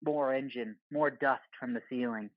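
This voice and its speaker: A man's voice: medium pitch, fairly even intonation, moderate pace, no echo. Guy reading audio play stage directions, short punchy phrases, no room sound, bit muffled. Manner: terse, clipped screenplay phrasing. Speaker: narrator.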